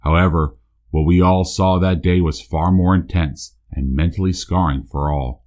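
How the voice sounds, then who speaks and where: A man playing a true-crime podcast host, delivering scripted narration in a measured, deliberate way. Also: low voice, fairly even intonation, heavy background noise, close to an everyday voice. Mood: grave, measured. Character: male true-crime podcast host, solemn small-town investigator.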